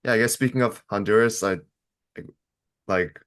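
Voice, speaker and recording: A man hosting a podcast, asking questions casually in a low-key, laid-back manner. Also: moderate pace, a bit bright. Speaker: podcast host. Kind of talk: casual interview chat.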